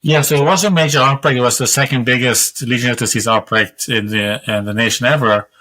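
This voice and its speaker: A man in his sixties from Sweden, speaking with a Swedish accent, explaining his research in a measured way as a guest on a video call. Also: mid-range voice, steady tone, bright, forceful tone. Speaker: guest, epidemiologist. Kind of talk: interview answers over video call. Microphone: webcam on video call.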